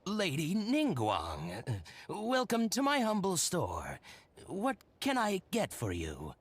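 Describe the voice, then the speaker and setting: An actor playing a deferential, welcoming shopkeeper in a video game, effusively polite. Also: male voice, high-pitched, wildly swooping pitch, slow pace, slightly rough, full character voice. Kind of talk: video game character acting.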